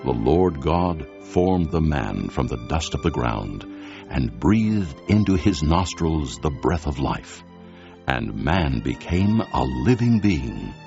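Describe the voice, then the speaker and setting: Bible narrator, male: extremely deep and gravelly, solemn with wide intonation, music underneath. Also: sing-song, darkish tone. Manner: solemn, measured storytelling. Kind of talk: dramatized scripture narration. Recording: dull lo-fi audio with music behind.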